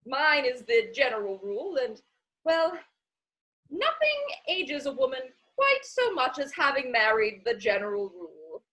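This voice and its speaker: Gushing Victorian society lady for stage comedy; chatty, very bright, animated. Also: sits high, measured pace, full character voice, low-quality recording. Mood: gossipy, airy. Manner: gushing, rambling, theatrical. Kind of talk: stage comedy dramatic reading.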